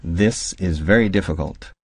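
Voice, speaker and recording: A man with a very low, deep voice reads short phrases at an unhurried pace. Each word is carefully enunciated in a plain drill delivery. The sound is dry and close. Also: creaky, breathy edge, dry recording. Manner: slow, even, careful enunciation. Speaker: English phrase reader. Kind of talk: slow read-aloud language drill.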